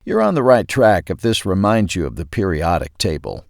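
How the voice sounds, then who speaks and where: A man's voice: sits low, sing-song, normal speed, vocal fry, no echo, studio quality. A man reading a scripted explanatory article as the host, articulating carefully. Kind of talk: scripted narration.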